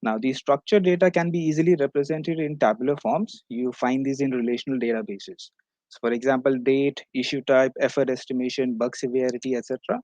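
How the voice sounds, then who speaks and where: Male academic presenter, medium-pitched, explanatory delivery of a prepared conference talk, recorded dry. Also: fairly even intonation, brisk, darkish tone, no room sound. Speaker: research paper presenter.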